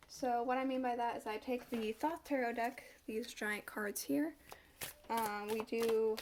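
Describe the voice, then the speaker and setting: A woman's voice: fairly high pitch, darkish tone, a little hiss or hum. Stuffed-up woman reading tarot cards. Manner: musing, thinking aloud as cards turn. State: stuffed up, slight cough. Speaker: tarot reader. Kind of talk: casual tarot reading to camera.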